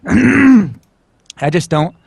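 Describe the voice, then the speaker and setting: A man's voice: high-pitched, sing-song, extremely slow, gravelly, darkish tone, husky, no echo. A man co-hosting a podcast over an online call, talking casually in a rambling, hedging way. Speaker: podcast co-host. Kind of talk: casual group chat.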